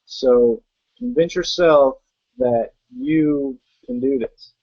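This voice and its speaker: A man's voice: mid-range voice, big pitch swings, takes it slowly, slightly rough, dry recording. A young man giving an earnest motivational talk and driving his points home with rhetorical questions. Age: young adult. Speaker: network marketing guest. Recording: dull muffled sound.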